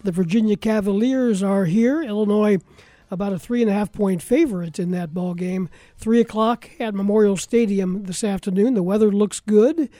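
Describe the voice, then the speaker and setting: A man's voice: high-pitched, normal speed, dark tone, no echo. A man with an American accent hosting a sports talk show on the radio in a casual, conversational style. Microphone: close studio broadcast mic.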